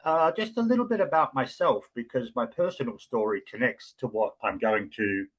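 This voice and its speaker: A man with an Australian accent giving an explanatory talk as guest speaker, measured and with frequent fillers. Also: medium pitch, lively intonation, husky, poor audio. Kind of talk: lecture-style talk.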